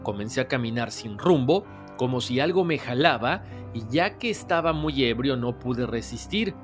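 A man with a Latin American Spanish accent hosting a mystery show, talking conversationally and reading listener stories in an expressive storytelling way. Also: mid-range voice, moderate pace, soft background music. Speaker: host of a paranormal stories show.

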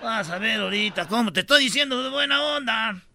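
Sketch comedy: male performer as cartoonish bossy Mexican queue guard, extremely high-pitched, gravelly creak, slangy scolding. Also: animated, a little fast, sharp tone, a little hiss or hum, heavily acted. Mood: threatening. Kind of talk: broad comedy sketch acting.

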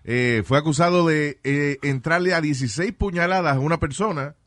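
A male radio host telling stories in casual, animated chat, switching between languages and laughing. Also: fairly high pitch, normal speed, no room sound.

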